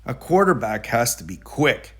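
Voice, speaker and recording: A man narrating a children's picture book aloud in a deliberate, explanatory manner. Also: medium pitch, very animated, fairly bright tone, husky. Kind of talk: children's storybook read-aloud.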